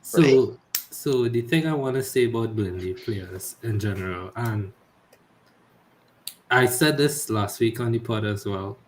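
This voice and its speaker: A man co-hosting a fantasy football podcast, talking in a measured way with dry remarks. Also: fairly low pitch. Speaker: podcast co-host. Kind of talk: casual chat about fantasy football. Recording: echoey room with faint noise.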